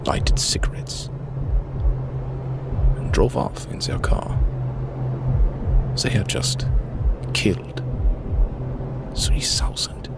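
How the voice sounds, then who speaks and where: A man voicing a doctor who soberly reads out his grim eyewitness testimony, slow and halting. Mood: grim, restrained. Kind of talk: dramatic reading of written testimony. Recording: music behind the voice.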